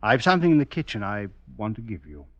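An actor playing a suave, evasive doctor in debt, genteel with a menacing streak, in old-time radio drama. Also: male voice, lowish voice, sing-song, fast talker, dry recording. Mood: quietly menacing. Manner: genteel, blandly evasive small talk. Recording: muffled vintage radio recording.

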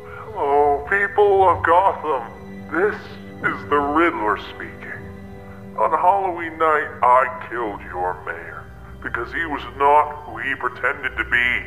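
An actor playing a masked serial killer in an audio drama, delivering slow, theatrical threats. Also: male voice, on the high side, lively intonation, bright, forceful tone, faint music behind, exaggerated voice, low-quality recording. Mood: menacing, taunting. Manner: slow, deliberate, theatrical pronouncements.

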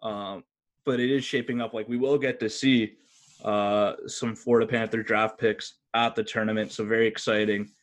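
A man's voice: fairly low pitch, level tone, moderate pace. A man hosting a sports podcast in a casual, conversational style. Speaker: hockey podcast host.

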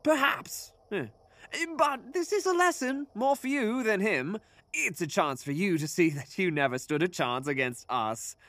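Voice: medium pitch, animated, a little slow, fairly bright tone, no echo. A pompous, theatrical fantasy sorcerer. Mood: smug. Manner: pompous, theatrical, haughty with flustered hesitations. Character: pompous, cocky, theatrical magical henchman sorcerer serving an empress. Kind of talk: fantasy audio drama acting.

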